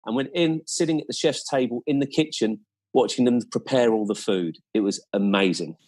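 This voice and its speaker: A man's voice: medium pitch, a little fast, darkish tone. A man speaking as a podcast guest, casually and enthusiastically sharing travel anecdotes and recommendations in informal, colloquial language.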